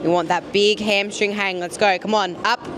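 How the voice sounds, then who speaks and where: A woman's voice: mid-range voice, steady tone, moderate pace, over music. A woman guest talking casually about training during a gym vlog. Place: indoor gym.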